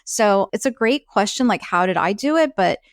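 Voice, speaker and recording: A woman's voice: medium pitch, lively intonation, moderate pace, no echo, clean studio sound. A woman in her fifties, a health coach born in Peru whose first language was Spanish, chatting casually in an interview and often saying "like". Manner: chatty, many "like" fillers, laughs easily.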